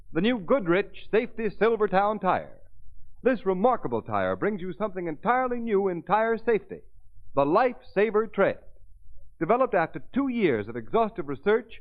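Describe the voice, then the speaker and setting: A man reading an emphatic, salesmanlike commercial script as an old-time radio announcer. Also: high voice. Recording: tinny old radio recording.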